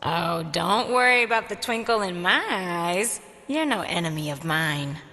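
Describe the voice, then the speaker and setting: A woman's voice: on the low side, expressive, a little slow, bright, forceful tone. An actress playing a sassy, flirtatious gorgon woman in a video game, with a teasing, sarcastic, brash delivery. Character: sassy, flirtatious snake-haired gorgon woman without a body. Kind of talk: video game character acting. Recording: long reverb, faint music underneath.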